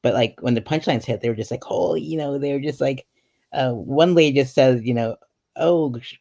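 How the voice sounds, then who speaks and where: A man hosting a casual comedy interview as a fellow comedian, halting but enthusiastic and agreeable, over an online call. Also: medium pitch, creaky, darkish tone. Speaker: host, stand-up comedian. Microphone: online video call. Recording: dull lo-fi call audio.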